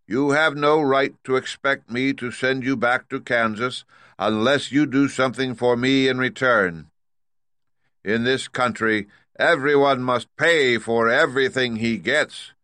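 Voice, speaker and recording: A man performing a giant magic head in a storybook, stern and demanding, each word slow and heavy. The voice sits well above his own register and carries loud and forceful. Close, dry sound with no room. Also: mid-range voice, no echo, pitched above the performer's own voice.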